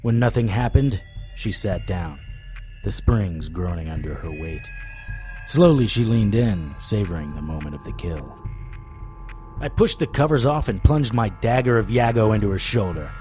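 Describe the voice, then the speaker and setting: Low-voiced wry occult investigator actor. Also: male voice, animated, moderate pace, over music, lightly acted. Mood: tense storytelling. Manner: wry, sardonic. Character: wry, hard-boiled male occult investigator, cocky narrator. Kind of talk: scripted audio drama, first-person noir narration.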